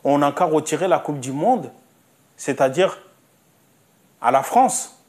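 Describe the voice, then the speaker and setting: A man's voice: a little high, expressive, takes it slowly, a little mellow. A man delivering a spoken opinion monologue, insistent, repeating himself and asking rhetorical questions. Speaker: commentator.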